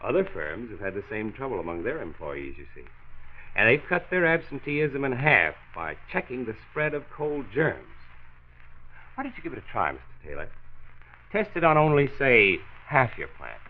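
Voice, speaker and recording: An actor playing a calm, authoritative American health doctor in a 1940s radio drama, measured and instructive, stressing his key points. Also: medium pitch. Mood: persuasive. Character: calm, authoritative male county health doctor, patiently explaining. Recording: tinny, noisy 1940s radio recording.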